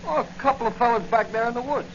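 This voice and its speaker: A man's voice: very high-pitched, fast talker, a bit bright. An actor playing an eager, naive country boy with folksy, rustic speech in a 1940s radio drama. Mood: matter-of-fact, helpful. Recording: dull, noisy 1940 radio recording.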